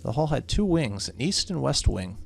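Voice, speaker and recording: A man's voice: mid-range voice, very animated, normal speed, gravelly, slightly rough, full character voice. A man voicing a nervous, superstitious young real estate agent in a horror visual novel, with dramatic, exasperated delivery. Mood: wary narrating.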